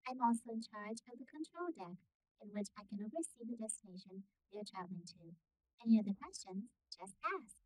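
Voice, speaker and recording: A woman voicing a polite, composed starship AI with formal, measured announcements in a sci-fi audio roleplay. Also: mid-range voice, a little mellow, no room sound, pitched above the performer's own voice, rough recording.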